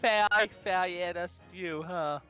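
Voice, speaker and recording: A man chatting as a guest on a stream, halting and self-mocking, laughing often. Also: sits high, over music. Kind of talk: casual chat with friends.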